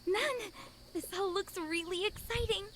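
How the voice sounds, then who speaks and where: A woman's voice: very high-pitched, unhurried, background noise. An actress playing a chirpy, polite, eager young woman on a convent retreat in a scripted visual novel, sweet and agreeable.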